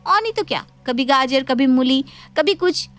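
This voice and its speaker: Sassy high-pitched young daughter-in-law played by a woman. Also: animated, moderate pace, a bit bright. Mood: indignant. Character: sassy young daughter-in-law who cooks endlessly, cartoon.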